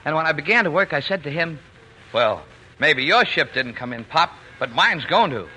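A man's very bright, forward voice as a fond, dreamy working-class dad. He is folksy and soothing, given to playful boasting, on a faintly noisy old radio drama broadcast. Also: a little high, lively intonation, moderate pace. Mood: wistful. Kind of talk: 1940s radio drama acting.

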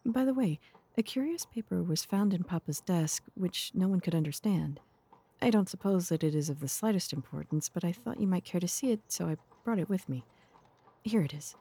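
A woman performs a courteous young gentlewoman in an audio drama. Her voice is dark, breathy and fairly low, and her manner is composed and polite. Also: lively intonation, brisk.

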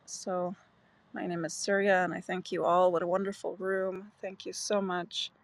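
A woman's voice: on the low side, level tone, moderate pace. A woman from Canada sharing personal thoughts in an earnest, conversational way, as a speaker in an audio chat room.

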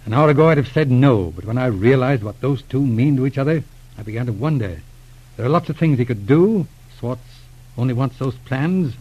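Brisk male inspector, played by an actor, clipped and urgent, quick delivery, 1940s radio drama on a dull recording. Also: medium pitch, animated, natural voice. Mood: earnest, troubled.

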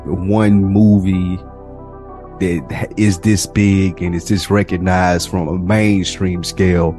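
A man's voice: low-pitched, level tone, slow pace, mellow, faint music behind. An American man co-hosting a podcast in casual, informal conversation. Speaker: podcast co-host.

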